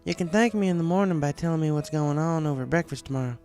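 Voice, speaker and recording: A man's voice: on the high side, fast talker, a little mellow, faint music behind, voice pushed up, lightly acted. A man voicing a friendly, hospitable young farm pony mare with a folksy, plain-spoken manner, in a cartoon fan story. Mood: warm, folksy.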